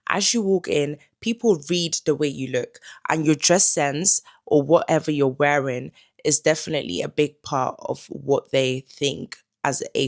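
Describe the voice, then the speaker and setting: Young woman giving advice. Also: deep voice. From Netherlands. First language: Dutch. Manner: calm and instructive, advising the viewer directly. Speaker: lifestyle vlogger. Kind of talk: advice vlog talking to camera.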